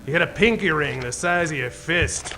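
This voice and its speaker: An actor playing the storytelling narrator of an old-time radio detective noir parody. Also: male voice, a little high, expressive, normal speed, pushed and bright. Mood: scene-setting.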